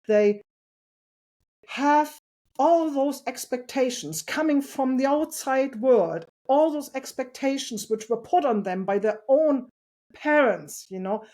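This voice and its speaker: A woman talking as a podcast guest about parenting, earnest and reflective, with a loud voice and light laughs. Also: sits high, animated, slow. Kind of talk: casual interview conversation.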